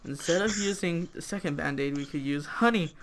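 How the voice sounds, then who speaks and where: A man's voice: on the high side, fairly quick, noisy background, close to an everyday voice. A man playing a casual, dismissive honey-loving bear who shrugs off disaster in a comic improvised story. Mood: nonchalant.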